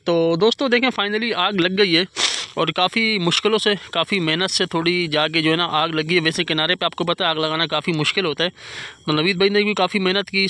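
A man's voice: high voice, pushed and bright. A man with a Karachi Urdu accent chatting casually to viewers while filming a night fishing trip on the beach, talking into a handheld phone. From Pakistan. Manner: chatty, keeps addressing friends, frequent pious interjections. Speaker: fishing vlogger. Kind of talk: casual outdoor vlog narration. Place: night beach campsite by a fire, outdoors.

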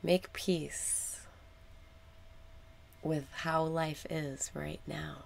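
A woman leading a guided meditation in a calm, soothing manner, with soft, sometimes breathy phrasing and deliberate pauses. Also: deep voice, poor audio. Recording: background noise with ambient sound.

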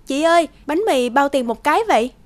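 A woman's voice: high voice, fairly quick, close to an everyday voice. An actress playing a polite, kind young woman in a cartoon. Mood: polite, gentle. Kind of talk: cartoon voice acting. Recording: loud music behind the voice. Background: music.

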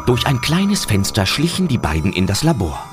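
A man's voice: mid-range voice, sing-song, normal speed, over music. A man narrating a scripted children's story as the narrator of a radio play.

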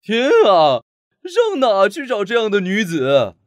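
A woman's voice: medium pitch, wildly swooping pitch, unhurried, dry recording, clean studio sound, pitched below the performer's own voice. A woman voicing a worried, weeping old king in a children's cartoon story. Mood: despairing. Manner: despairing, fretful.

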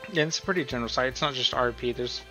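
A man's voice: medium pitch, very fast, bright, forceful tone. A man giving a casual screen-recorded tutorial, thinking aloud informally and often checking in with the listener. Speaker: tutorial host. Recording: dull, lo-fi sound with music.

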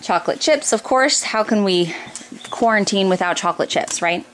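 A woman's voice: mid-range voice, animated, normal speed, a bit bright. An American woman in her thirties chatting informally as she explains household items one by one for a casual home vlog. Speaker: family vlogger, mother. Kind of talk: casual grocery haul narration. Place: indoors in a home kitchen or dining room. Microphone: handheld camera close by. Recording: noisy home recording with room ambience.

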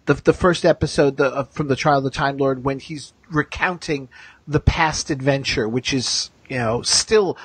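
A man hosting a casual, opinionated discussion, often halting and circling back mid-thought. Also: mid-range voice, fairly bright tone, slightly rough, no room sound. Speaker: podcast host.

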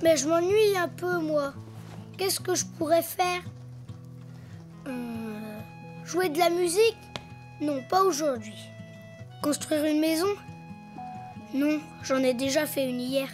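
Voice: sits high, slow pace, long pauses, faint music behind. A performer voicing a cheerful, imaginative little boy donkey in a children's cartoon, chatty and childlike. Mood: pensive, bored. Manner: childlike, talking to himself, expressive.

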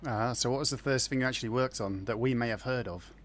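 A man hosting a radio interview, asking brisk, light-hearted questions. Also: mid-range voice. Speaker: radio host. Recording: music bed under the voice. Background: music.

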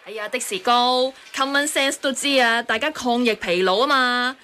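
A woman's voice: on the high side, moderate pace, sharp tone, slight background noise. An actress playing an outspoken, sensible woman in a radio drama, chiding and explaining in a Hong Kong Cantonese accent. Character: outspoken, sensible woman who scolds gently.

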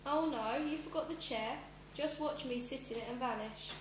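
A high young voice of a school pupil acting plays a sly, murderous barber who feigns innocence. It is a villain from a radio melodrama, recorded in a roomy space under a loud hum. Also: normal speed, lightly acted. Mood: defiant. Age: child, about 12 to 13. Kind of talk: amateur radio play melodrama.

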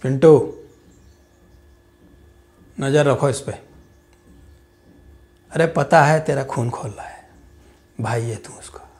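A man's voice: slow pace, pauses often. Man playing a proud, ruthless politician father. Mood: menacing. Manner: booming and jovial with party workers, dropping to a hushed, cold undertone with family. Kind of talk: dramatic audition monologue.

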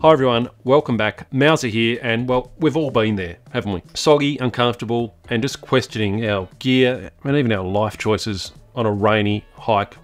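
A man's voice: mid-range voice, moderate pace, few pauses, soft background music. A middle-aged Australian man hosting a hiking vlog, talking casually to camera from his home study and correcting himself as he chats. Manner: chatty, self-correcting, relaxed. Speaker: hiking channel host. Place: home study lined with bookshelves.

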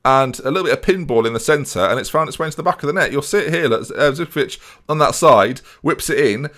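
A man's voice: a little high, fast, a bit bright. A young man commentating on a football management game from a small room at home, with a headset mic, chatty and jokey. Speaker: gaming streamer.

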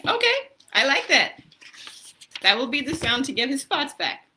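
A woman's voice: high voice, big pitch swings, normal speed. A woman in her thirties reading a children's story aloud in a casual, encouraging way, prompting young listeners with questions. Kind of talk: interactive children's story time, casual chat and read-aloud. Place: small room indoors. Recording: noisy home webcam recording.

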